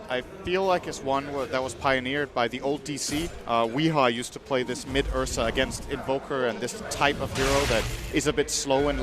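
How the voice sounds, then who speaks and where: Guy doing calm esports analysis, mid-pitch voice, crowd noise behind. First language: English. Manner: measured, explanatory. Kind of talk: analytical color commentary.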